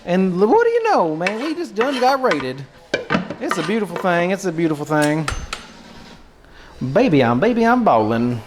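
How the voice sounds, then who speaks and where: Man putting on a folksy, higher-pitched country guy. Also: background noise. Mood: excited, goofy. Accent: put-on American country, Southern. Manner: drawling, folksy, rambling, exclamatory. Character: folksy, excitable American countryman, beer-loving and goofy. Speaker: live streamer cooking for chat. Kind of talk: casual chatty livestream.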